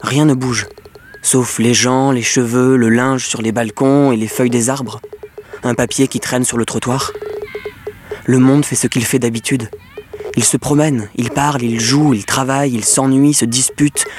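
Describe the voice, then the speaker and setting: An actor playing a troubled technician in an audio drama, speaking poetically in short, halting, urgent phrases. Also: male voice, mid-range voice, steady tone, noisy background, lightly acted. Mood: troubled, pensive. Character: troubled masked male technician pleading with his measuring device. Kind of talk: French audio fiction monologue.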